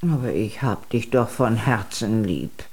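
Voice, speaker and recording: Worried old grandmother, lively intonation. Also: extremely low pitch, a little slow, husky, full character voice. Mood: pleading. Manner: fretful, pleading. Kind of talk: German children's radio play acting.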